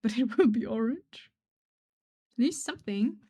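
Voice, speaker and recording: A woman streamer chatting casually while drawing, rambling and self-deprecating, often trailing off into quiet mumbles; German is her first language. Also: on the high side, animated, moderate pace, slightly rough.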